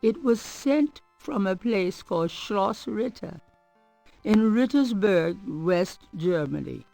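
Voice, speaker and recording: The wry narrator of a nineties mystery game. She takes her time, drawing out phrases in a deliberate, theatrical storyteller's way, with quiet music underneath. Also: medium pitch, animated, creaky, no echo, poor audio. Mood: measured, mysterious. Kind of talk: scripted narration in a 1990s adventure game.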